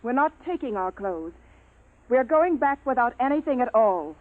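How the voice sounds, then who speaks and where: A woman's voice: fairly high pitch, sing-song, moderate pace. An actress playing a decisive woman military commander in a 1950s radio drama style. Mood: commanding. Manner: commanding, clipped orders. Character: female military base commander, decisive and authoritative. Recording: muffled, tinny vintage radio recording.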